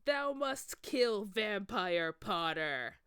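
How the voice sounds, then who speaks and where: A woman voicing a cruel, commanding male dark wizard in a storybook reading, with drawn-out archaic speech. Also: medium pitch, lively intonation, smooth, no room sound, studio quality. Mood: shouting command.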